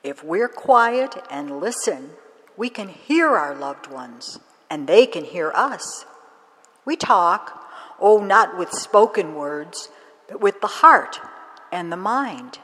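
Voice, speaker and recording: A woman voicing a bent little old crone who speaks gently and murmurs kindly. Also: fairly low pitch, very animated, takes it slowly, long reverb tail, heavily acted. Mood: gentle, wise.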